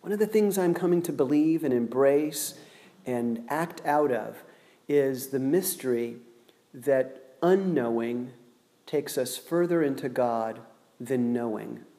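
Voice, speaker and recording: A man preaching a sermon, slow and deliberate, with dramatic pauses between words. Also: mid-range voice, warm tone. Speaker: pastor. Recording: long hall reverb.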